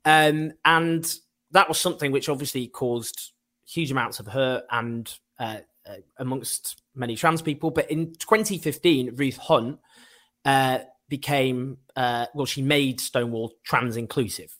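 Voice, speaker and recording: A man's voice: medium pitch, a little slow, fairly bright tone. A man from the north of England hosting an interview in a chatty, enthusiastic way, with a light Northern accent. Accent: light Northern English, mostly neutral. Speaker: host.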